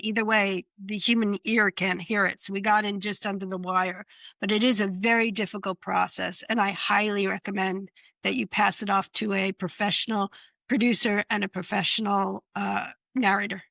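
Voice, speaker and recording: A woman in publishing gives direct, practical advice on a panel. Her voice is bright and forceful, and the sound is dry with no room echo. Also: medium pitch, vocal fry. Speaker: publishing professional. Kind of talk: panel discussion.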